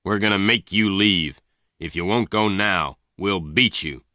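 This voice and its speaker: A man's voice: low-pitched, unhurried, bright, forceful tone, no echo, clean studio sound. A man voicing an angry, domineering gang leader who makes harsh threats.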